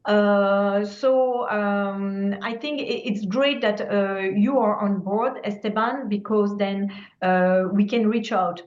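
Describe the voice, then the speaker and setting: A woman's voice: mid-range voice, fairly even intonation, takes it slowly, a little mellow. A woman moderating an online meeting, hesitant with many fillers as she hands the floor to speakers. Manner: hesitant, filler-heavy, handing over the floor. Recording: echoey, dull lo-fi audio.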